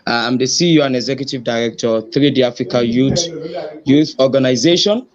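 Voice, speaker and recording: A man's voice: mid-range voice, brisk, a crowd in the background. A Nigerian-accented man introduces himself in a friendly, polite way. His voice comes through a muffled, lo-fi video call line. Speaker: youth organization director, guest. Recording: dull lo-fi call audio.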